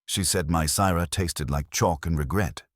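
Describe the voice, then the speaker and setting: A man voicing a big, gruff, resentful male restaurateur with a curt manner, as in an audiobook. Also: low-pitched, normal speed, no room sound, studio quality. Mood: bitter. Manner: curt, snapping. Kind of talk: audiobook character dialogue.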